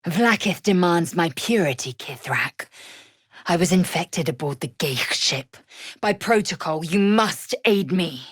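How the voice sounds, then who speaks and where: Game role: fierce alien warrior woman, very low and raspy, scornful, zealous, formal diction with big swings in pitch. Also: expressive, measured pace, no room sound, clean studio sound. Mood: fierce, commanding. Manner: imperious, clipped, formal, scornful. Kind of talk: video game character acting.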